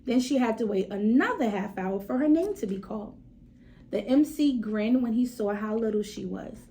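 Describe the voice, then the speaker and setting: A woman's voice: a little high, expressive, normal speed, mellow, poor audio. A woman reading a children's story aloud expressively, with dramatic emphasis and direct asides to her listeners.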